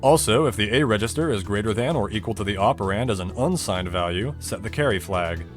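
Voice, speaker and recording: A man's voice: on the low side, lively intonation, fairly quick. A man giving a scripted, explanatory video narration in a conversational way, with dry asides and rhetorical questions. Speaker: video creator narrating a technical game explainer. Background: music bed under the voice-over.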